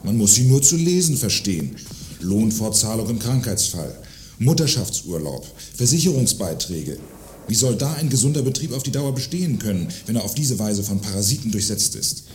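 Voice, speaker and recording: A man's voice: lowish voice, sing-song, moderate pace, darkish tone. A man acting a self-righteous, pompous arms manufacturer in a satirical radio play, speaking formally and indignantly. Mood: pompous indignation. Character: self-righteous, award-winning male arms manufacturer and husband. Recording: roomy sound with faint noise.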